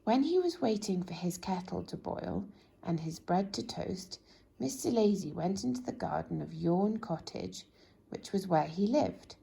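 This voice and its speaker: A woman reading a children's bedtime story expressively, in a gentle, drawn-out way that drops into hushed tones. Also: lowish voice, lively intonation, gravelly. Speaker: storybook reader.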